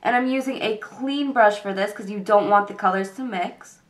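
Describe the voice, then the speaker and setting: A young woman with a cold and a congested voice, calmly talking through a makeup tutorial step by step. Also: medium pitch, normal speed. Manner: calm step-by-step explaining with fillers. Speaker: beauty vlogger.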